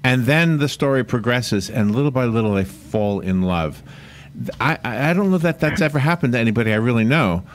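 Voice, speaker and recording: A man's voice: medium pitch, lively intonation, brisk. A man hosting a radio show, bantering casually and interviewing in a studio. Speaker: radio host.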